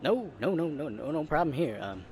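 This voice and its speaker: A man's voice: a little high, big pitch swings, normal speed, a little mellow. A man playing a smarmy, cowardly flirt with a Southern US accent in a Western romance audio drama. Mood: nervous, backpedaling.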